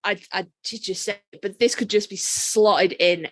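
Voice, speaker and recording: A woman's voice: medium pitch, fairly even intonation, measured pace, dry recording. A woman co-hosting a podcast, chatting casually and emphatically with informal, sweary phrasing. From Britain. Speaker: podcast co-host. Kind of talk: casual fan discussion chat.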